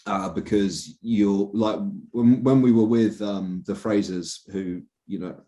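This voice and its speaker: A man's voice: on the low side, slow, warm tone. A young British-raised man, a journalist, talking earnestly in a casual podcast interview with many restarts and fillers.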